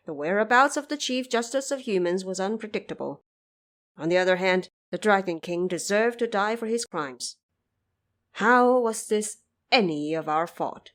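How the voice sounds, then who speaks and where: A woman's voice: mid-range voice, lightly acted. A woman voicing a dignified, humble male emperor with a formal, restrained manner in an audio drama. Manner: formal, restrained, uses royal we.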